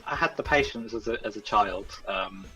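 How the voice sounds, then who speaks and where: A man's voice: lowish voice, moderate pace, sharp tone, soft background music, poor audio. A man chatting casually as a guest game developer in an interview, modest and a little hesitant in his manner.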